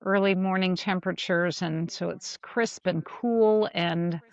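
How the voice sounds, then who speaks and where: A woman's voice: on the low side, fairly even intonation, unhurried, no echo. A woman chatting casually as a podcast panelist, in a slightly hesitant, rambling way. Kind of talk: casual chat. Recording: dull, slightly lo-fi mic.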